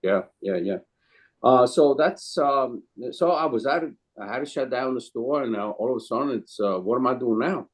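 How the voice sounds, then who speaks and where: A man in his sixties from Calabria, Italy, speaking English with an Italian accent as an interview guest, telling his story in a hesitant, rambling way with many pauses and some non-native phrasing. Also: mid-range voice. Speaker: guest, chef and caterer.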